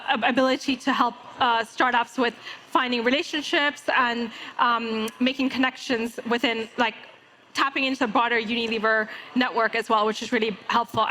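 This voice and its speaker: Bright, high-voiced woman moderating a panel. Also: low-quality recording. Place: conference main stage. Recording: faint ambient noise, live event stage.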